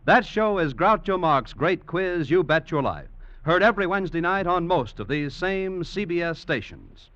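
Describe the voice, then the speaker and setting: A male network announcer with a bright, fairly high voice. He delivers scripted copy in mid-century radio style. The recording is dry and somewhat muffled, like an old broadcast. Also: expressive, moderate pace.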